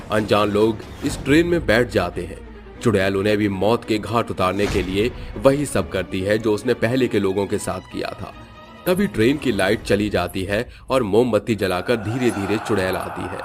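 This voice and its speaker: A man's voice: lowish voice, very animated, moderate pace, over music. A man narrating a scripted horror cartoon story in a dramatic storytelling manner.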